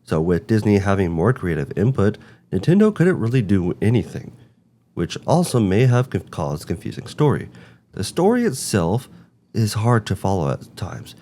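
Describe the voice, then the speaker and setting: A man's voice: on the low side, very animated, moderate pace, mellow, a little hiss or hum. A man narrating a scripted review as host, with emphatic, rhetorical phrasing. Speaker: host of a video game movie review show.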